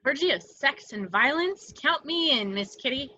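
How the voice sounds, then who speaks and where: Lady doing a wicked playful catburglar villainess, purring and teasing, sharp highish voice, movie script read. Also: lively intonation, normal speed, lo-fi sound. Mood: wicked, eager. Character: sultry, wicked, playful female cat-burglar villainess. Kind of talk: amateur table read of a film script.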